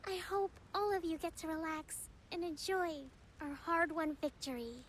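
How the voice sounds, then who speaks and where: An actress voicing a gentle, dignified young female leader in a video game, formal, measured and earnest. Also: very high-pitched, noisy background. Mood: solemn, sincere. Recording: faint game music behind.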